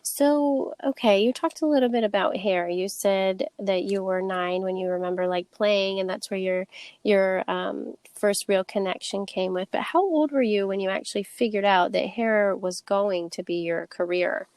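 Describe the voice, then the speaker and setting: A woman hosting a podcast, upbeat and eager as she introduces her guest and asks questions. Her voice sits at a middle pitch. The recording is dry and close. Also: normal speed, darkish tone, clear, resonant tone, no room sound. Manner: upbeat, enthusiastic. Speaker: podcast host. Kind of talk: scripted intro, then casual interview.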